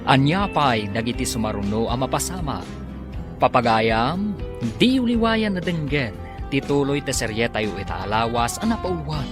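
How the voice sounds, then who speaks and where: A man's voice: mid-range voice, very animated, normal speed. Male radio drama announcer over music.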